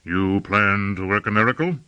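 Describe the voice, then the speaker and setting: A man's voice: sits low, bright, forceful tone, dry recording, muffled recording, pitched below the performer's own voice. A man voicing a grave, weary senior sorcerer with heavy sarcasm and resignation in an audiobook fantasy. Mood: sarcastic. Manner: heavy sarcasm, slow sighing gravity.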